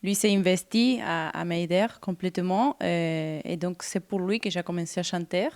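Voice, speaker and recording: A woman from Argentina, a singer interviewed on the radio, who searches for her words with many pauses and fillers. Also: fairly low pitch, darkish tone.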